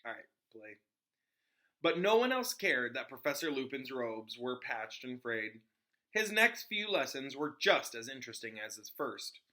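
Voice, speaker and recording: A man's voice: medium pitch, very animated, sharp tone. A man who is a teacher, reading a children's novel aloud in an informal way with casual explanatory asides. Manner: informal, pauses to explain to listeners.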